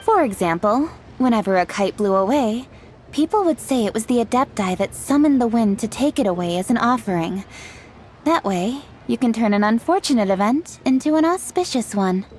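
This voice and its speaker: An actress playing a poised, earnest young woman official in a video game, speaking in an articulate, measured, explanatory way. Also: fairly high pitch, expressive, a little mellow, slight background noise, close to an everyday voice. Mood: thoughtful, earnest. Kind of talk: scripted video game dialogue acting. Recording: music behind the voice.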